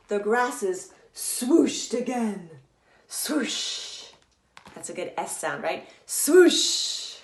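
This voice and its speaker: A woman author reading a children's story aloud in a deliberate, teacherly, emphatic way. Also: fairly high pitch, a bit bright. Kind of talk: expressive children's storybook narration. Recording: echoey room.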